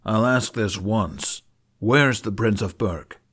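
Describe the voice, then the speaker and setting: A man's voice: fairly low pitch, takes it slowly, fairly bright tone, breathy edge, exaggerated voice. A man voicing a stern, humorless knight commander who questions demandingly in an audiobook. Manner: demanding, interrogating.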